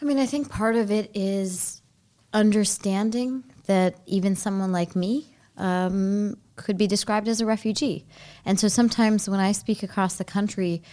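A woman from Baltimore, a young adult guest in a studio interview, answering in a measured, thoughtful way with frequent ums. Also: medium pitch, steady tone, dark tone. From Baltimore, United States. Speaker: guest, head of a refugee relief organization.

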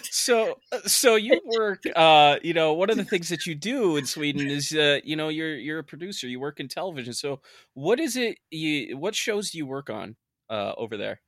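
A man's voice: a little high, lively intonation, normal speed, a bit bright, no room sound, studio quality. A man hosting a podcast in a casual, conversational style. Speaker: podcast host.

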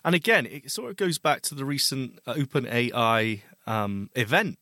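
A man's voice: medium pitch, lively intonation, normal speed, bright, forceful tone, no room sound, studio quality. A man co-hosting a podcast, discussing tech news in a hesitant way with many restarts and fillers. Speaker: podcast co-host. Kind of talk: casual tech news chat.

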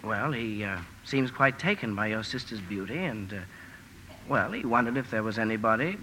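A man's voice: on the low side, expressive, normal speed, sharp tone. An actor playing a polite, lonely, bashful young man, hesitant and stammering, in 1950 radio drama style. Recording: noisy vintage 1950 radio broadcast.

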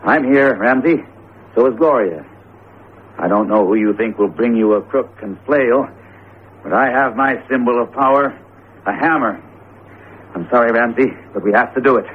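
An actor in a radio drama playing an earnest, determined teacher with deliberate, theatrical phrasing. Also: male voice, medium pitch, natural voice. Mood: resolute. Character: jumpy, determined male teacher confronting a cursed statue. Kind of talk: vintage radio drama acting. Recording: tinny, narrowband old radio broadcast, noisy.

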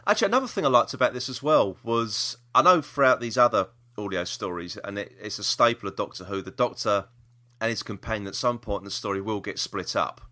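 A man's voice: fairly low pitch, animated, a little fast, bright, forceful tone. A man chatting casually as a podcast co-host, enthusiastic and repeating himself for emphasis. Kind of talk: casual review chat about audio dramas.

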